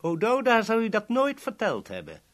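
Courteous armed boss, higher-pitched, lively melodic speech, formal and smoothly menacing radio-play villain. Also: male voice, normal speed, full character voice. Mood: smooth menace. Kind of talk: 1970s radio play acting.

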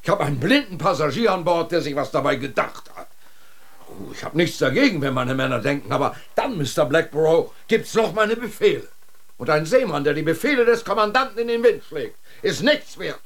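An actor playing a stern, sarcastic polar expedition commander in a radio drama, with a rough, commanding voice. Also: male voice, fairly high pitch, lively intonation, brisk. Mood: scornful.

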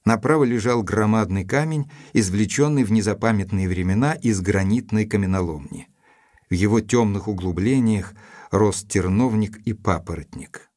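Low-voiced, reserved gentleman investigator, played by a man. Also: moderate pace, natural voice. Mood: narrating gravely. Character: reserved, sceptical gentleman investigator telling his story. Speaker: actor and narrator in an audio drama. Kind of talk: dramatised first-person narration and dialogue.

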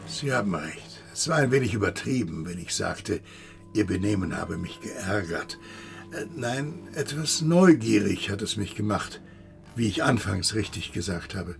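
An actor playing an agitated, inquisitive interrogator with emphatic, insistent questioning in a radio play. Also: male voice, on the low side, animated, measured pace, creaky, faint music behind. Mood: conceding, curious. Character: agitated, accusing, inquisitive male interrogator, haughty and probing. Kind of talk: German radio play acting.

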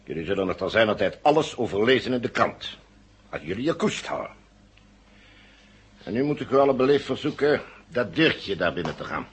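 A smug captor in a 1970s radio thriller. His voice is low and very rough-edged. He speaks with condescending, mocking courtesy. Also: very animated, brisk, pauses often, full character voice. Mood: smugly menacing. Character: smug, coolly polite male villain holding captives. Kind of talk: 1970s radio drama acting.

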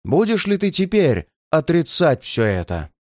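A man's voice: a little high, wildly swooping pitch, no echo, clean studio sound, pitched above the performer's own voice. A man doing a menacing red-haired giant. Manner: threatening, slow and heavy.

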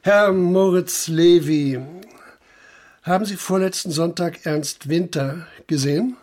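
An actor playing a persistent police inspector in a radio play, questioning in a measured, formal way. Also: male voice, high-pitched, darkish tone. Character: probing male police inspector investigating a murder. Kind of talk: German radio play acting.

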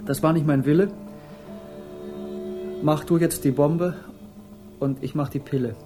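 Solemn young hippie poet played by an actor, highish voice. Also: male voice, steady tone, a little slow, over music, close to an everyday voice. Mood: mock-solemn reciting. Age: young adult. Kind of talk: poetry recital within a radio drama.

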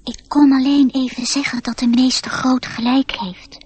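An actress voicing a small talking mouse in a radio play, formal and frank, with a husky, breathy voice. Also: female voice, sits high, little pitch movement, normal speed, darkish tone, no room sound. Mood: prim, admonishing. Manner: formal, matter-of-fact. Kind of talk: radio drama acting.

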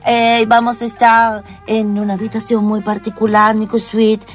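A woman's voice: on the high side, completely flat delivery, moderate pace, faint music behind, lightly acted. A woman playing a breezy, coquettish French woman in an improvised radio play, full of theatrical phrasing. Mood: coaxing.

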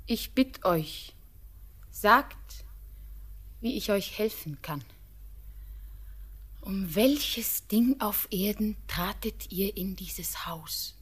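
An actress playing an innocent, tender young village girl in a classic radio drama, speaking lyrical, earnest with hushed phrases. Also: medium pitch, animated, very slow, long pauses, husky, heavily acted. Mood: anxious, hushed. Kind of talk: classic German radio drama, poetic stage diction.

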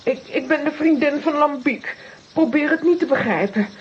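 A woman's voice: high voice, normal speed, muffled recording, a little hiss or hum, lightly acted. An actress playing a feisty, combative woman adventurer, bold and dramatic, in a children's audio drama. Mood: flustered, proud.

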